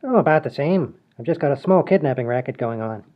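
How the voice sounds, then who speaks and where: A man's voice: mid-range voice, expressive, fast talker, natural voice. A man voicing a brash, scheming small-time crook in a comedy radio play. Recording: dull lo-fi audio.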